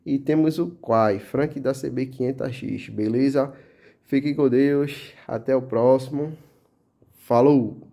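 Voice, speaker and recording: A man's voice: mid-range voice, takes it slowly, vocal fry. A man with a Pernambuco accent hosting his motorcycle channel, chatting casually as he shows off camera gear indoors, peppering his talk with little tag questions. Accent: Pernambuco Portuguese. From Brazil. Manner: relaxed, chatty, full of fillers like "tá?" and "né?". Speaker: motovlog channel host. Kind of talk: casual product show-and-tell.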